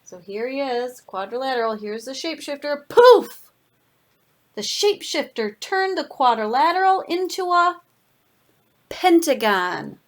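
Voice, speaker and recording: A woman's voice: high voice, animated, normal speed. A woman reading a picture book aloud to children expressively, unhurriedly adding asides and pointing things out along the way.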